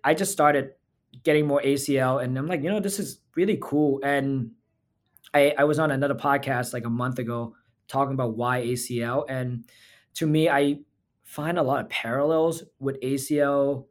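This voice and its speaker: A man's voice: mid-range voice, steady tone, normal speed. A man from Thailand, a physical therapist talking as a podcast guest in an earnest, reflective way. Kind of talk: casual interview talk.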